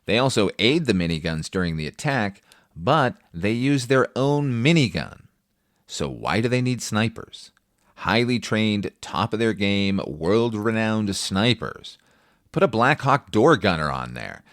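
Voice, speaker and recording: A man's voice: lowish voice, very animated, measured pace, dry recording, studio quality. A man hosting a film review podcast, talking conversationally with wry, profane, opinionated asides.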